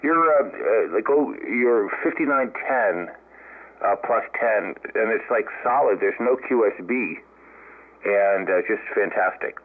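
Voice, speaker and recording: Man, American accent, deepish voice, halting ham radio chat, band-limited transmission. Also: expressive, narrow, tinny audio. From United States. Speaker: amateur radio operator. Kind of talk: unscripted ham radio exchange. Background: radio band sound.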